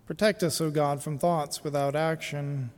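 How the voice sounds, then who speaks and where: A man who is a pastor, from the United States, telling a personal story in a sermon in an easy conversational way, with pauses and fillers. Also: fairly high pitch, fairly even intonation, a little mellow, big-room sound.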